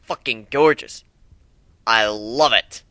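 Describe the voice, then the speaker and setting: A man's voice: medium pitch, slow pace, bright, forceful tone, dry recording. Man as a brash, protective griffin warrior. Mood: casual. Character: tough, joking, protective griffin warrior and leader.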